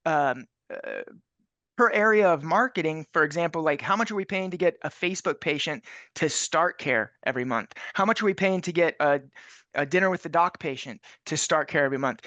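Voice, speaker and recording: Brisk persuasive man, dry close sound. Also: a little high, bright, forceful tone, no room sound. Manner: brisk, persuasive, repeats phrases for emphasis. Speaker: chiropractic clinic owner. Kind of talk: business advice monologue.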